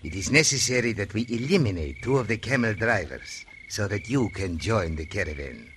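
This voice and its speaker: A man's voice: lowish voice, animated, normal speed, a bit bright. A man playing a cunning, ruthless Turkish desert man in an old radio western, speaking florid, formal English. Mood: scheming, ruthless. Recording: vintage radio recording, faint noise.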